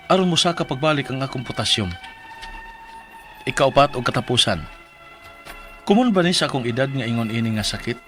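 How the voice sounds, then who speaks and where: An actor with a Cebuano Filipino accent playing an ailing, plaintive forty-five-year-old laborer in a radio drama, pleading for advice. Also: male voice, mid-range voice, a bit bright, close to an everyday voice.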